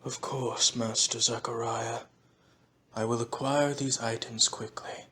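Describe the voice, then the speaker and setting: Audio drama casting: man playing polite unflappable manservant, measured and very slow, monotone, over faint ambient noise. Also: mid-range voice, lightly acted. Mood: calm, deferential. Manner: formal, measured, hushed. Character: courteous, unflappable, servile male butler. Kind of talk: audio roleplay acting.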